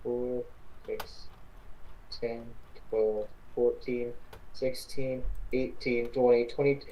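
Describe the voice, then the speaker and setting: A woman's voice: very deep voice, little pitch movement, slow pace, warm tone, dull audio, a little hiss or hum. A woman co-hosting in casual chat, blunt and sweary in her way of talking. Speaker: co-host.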